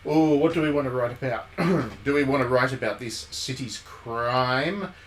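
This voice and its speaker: A man's voice: mid-range voice, moderate pace. A man giving casual, chatty commentary as he plays through a game on a livestream, musing aloud as he goes. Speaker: game streamer. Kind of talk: casual live visual novel playthrough commentary. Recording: steady background noise.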